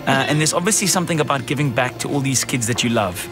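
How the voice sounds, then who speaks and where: A man's voice: mid-range voice, expressive, fast, music in the background. A man hosting a radio show from a studio mic, upbeat as he announces prizes. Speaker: radio host. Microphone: studio microphone with headphones.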